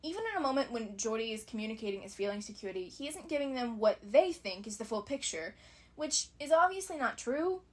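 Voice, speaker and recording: A woman's voice: on the high side, animated, a little fast. A woman giving conversational scripted commentary as a fan video essayist, analytical and opinionated with wry asides.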